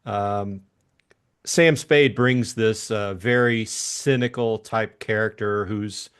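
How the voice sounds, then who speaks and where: A man co-hosting a casual book discussion, thinking aloud in a hesitant, halting way. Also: lowish voice, steady tone, clean tone.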